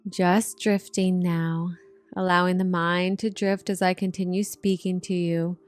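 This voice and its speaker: A woman narrating a guided meditation in a soothing, gentle manner, with drawn-out hypnotic phrasing. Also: fairly low pitch, clean tone, no echo. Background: relaxation music.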